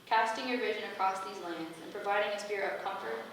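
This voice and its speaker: A woman's voice: mid-range voice, fast, a bit bright, close to an everyday voice. Echoey, distant stately goddess played by a woman. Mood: solemn. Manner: formal, stately, ceremonial oratory. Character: benevolent motherly female goddess, grand and solemn, gathering her heralds. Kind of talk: staged play reading. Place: echoey indoor venue at a staged reading. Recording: very echoey room from far off, faint noise.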